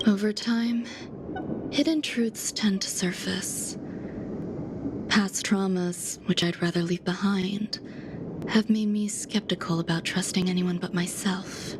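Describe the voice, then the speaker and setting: A woman voicing a soft-spoken, detached genius scientist in a game cutscene, calm and measured, at times dropping to a whisper. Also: medium pitch, noisy background, lightly acted. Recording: game audio with music behind. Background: music.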